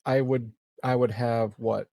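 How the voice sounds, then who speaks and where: A man playing a tabletop roleplaying game, chatting casually and hesitantly as he thinks out loud. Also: medium pitch, steady tone, unhurried, creaky, crowd noise.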